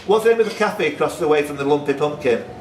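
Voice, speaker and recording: Animated quiz master, echoey noisy room. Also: male voice, on the high side, fast, crowd noise. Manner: teasing, jokey. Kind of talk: live pub-style quiz hosting with banter. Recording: roomy, noisy recording from a distance.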